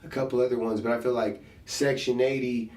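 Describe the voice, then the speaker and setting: Slangy host in a roomy space. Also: male voice, mid-range voice, a little fast. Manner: relaxed, slangy, talks through ideas out loud. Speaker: podcast host and rapper. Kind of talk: casual conversation. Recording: roomy sound, mic set at a distance.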